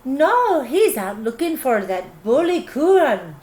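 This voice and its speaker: A woman voicing a clever, sly storybook wife with a calm, sweetly coaxing manner. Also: sits high, slow pace, echoey room, exaggerated voice. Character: Una, clever sly Irish giant's wife, cheerful.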